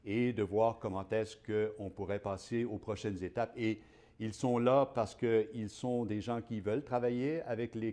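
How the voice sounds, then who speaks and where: Un homme qui anime un webinaire en studio, chaleureux et hésitant, avec beaucoup de « euh ». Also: male voice, on the low side, mellow. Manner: friendly, many fillers. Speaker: webinar host. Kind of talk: hosting a live webinar.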